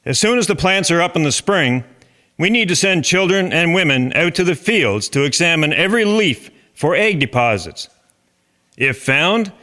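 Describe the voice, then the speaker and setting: A man's voice: a little high, sharp tone. A man playing an earnest chairman of a farmers' agricultural society in a historical drama, speaking formally and instructively.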